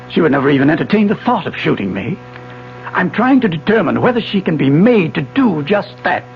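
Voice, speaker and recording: Obsessed elderly scientist, fervent and declamatory, wide-swinging gravelly voice, old radio drama sound. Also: male voice, on the high side. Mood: calm, intent. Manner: formal, declamatory, insistent. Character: obsessed elderly physics professor, intense, fervent, visionary. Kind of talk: 1970s radio drama acting. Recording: dull 1970s radio broadcast, faint music.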